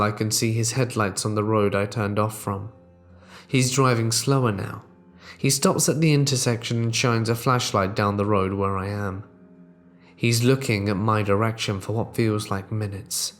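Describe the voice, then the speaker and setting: Man narrating true horror stories. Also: fairly low pitch.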